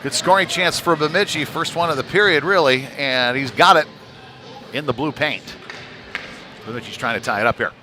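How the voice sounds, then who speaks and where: A man's voice: fairly high pitch, normal speed, bright, forceful tone. A man doing live ice hockey play-by-play commentary in a conversational, informal manner. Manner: conversational, informal, tag questions. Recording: clearly noisy venue ambience.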